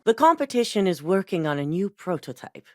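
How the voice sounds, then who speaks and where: Cast: cool middle-aged woman CEO in a game, low voice with lively inflection, businesslike, dry studio read. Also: brisk, no echo. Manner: controlled, businesslike, commanding. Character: cold calculating female corporate CEO. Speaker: company chief executive. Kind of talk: video game voice acting.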